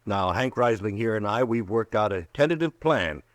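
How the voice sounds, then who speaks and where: A man's voice: on the low side, lively intonation, normal speed, dry recording, natural voice. An actor playing a fussy, flustered townsman in a 1950s radio drama, speaking hesitantly.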